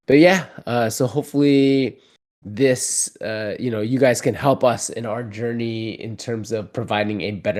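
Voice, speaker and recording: A man hosting a community call in a casual, loose way, with lots of fillers and restarts. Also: lowish voice. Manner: relaxed, many fillers and restarts. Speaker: community host.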